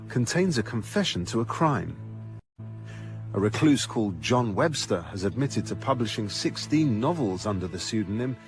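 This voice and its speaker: A man playing the narrator of a radio crime drama, giving scripted narration in a factual, measured way. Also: on the low side, very animated. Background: faint music.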